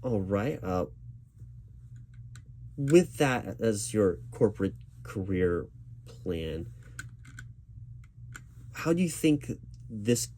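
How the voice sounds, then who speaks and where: A man's voice: on the low side, very animated, very slow, pauses often, warm tone. A man playing a recruiting manager in an ASMR interview roleplay, speaking aloud in a reassuring, patient and encouraging way. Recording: background noise with keyboard typing.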